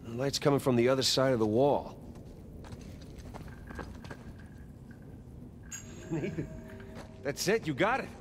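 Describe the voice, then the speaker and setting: A man's voice: medium pitch, animated, fairly quick, slight background noise, lightly acted. A man playing a sardonic, eager American treasure hunter, acted naturally for a video game. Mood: intrigued.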